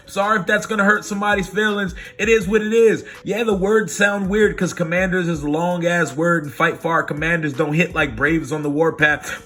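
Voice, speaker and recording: A hoarse man ranting casually and with lots of swearing in a sports fan video recap. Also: high voice. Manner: animated, profane, opinionated. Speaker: sports vlogger.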